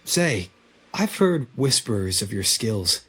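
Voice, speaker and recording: Casting: keen, ingratiating game newcomer, husky, slightly low voice. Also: male voice, big pitch swings, slow pace, a bit bright, soft background music, heavily acted. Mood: eager, flattering. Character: eager, flattering newcomer man seeking a challenge. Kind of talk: video game voice acting.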